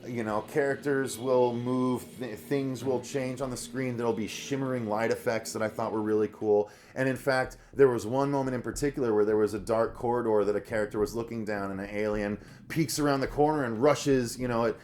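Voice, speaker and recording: A man's voice: medium pitch, steady tone, a little fast, hardly any pauses, a little mellow, a little hiss or hum. A man co-hosting a review show, talking to viewers in a casual, conversational way. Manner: conversational, addresses audience as "you guys". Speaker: co-host of a horror review show.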